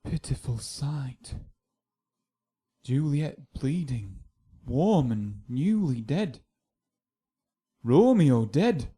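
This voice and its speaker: A man's voice: mid-range voice, sing-song, drawn-out words, long pauses, mellow, husky, full character voice. An actor playing a dismayed watchman in a Shakespearean radio drama. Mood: shocked, urgent. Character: dismayed watchman reporting deaths with urgency.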